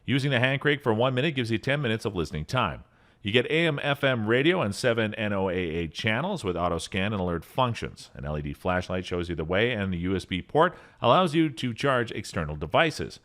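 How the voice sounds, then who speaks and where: Lively-pitched man reading a gadget rundown. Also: a little fast. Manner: even, steady reading of spec lists, lifting on product names. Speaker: product review narrator. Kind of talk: scripted voice-over product rundown.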